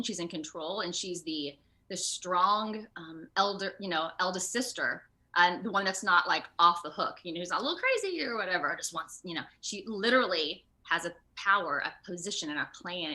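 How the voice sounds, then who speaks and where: Female interview guest, lowish pitch, bright and forceful tone, animated conversational delivery. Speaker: interview guest, voice and performance-capture actor. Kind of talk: casual video interview chat. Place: remote online video call.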